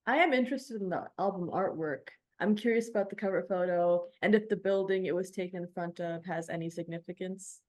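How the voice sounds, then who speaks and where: A woman radio DJ putting prepared interview questions in a measured, articulate way with formal wording. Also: fairly low pitch, a little mellow.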